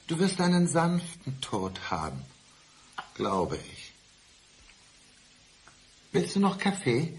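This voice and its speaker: An actor in a German radio crime drama playing a bitter abandoned ex-husband, mock-polite, deliberate and accusing, set on revenge. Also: male voice, fairly high pitch, wildly swooping pitch, heavily acted. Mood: eerily polite. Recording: roomy studio sound, faint noise.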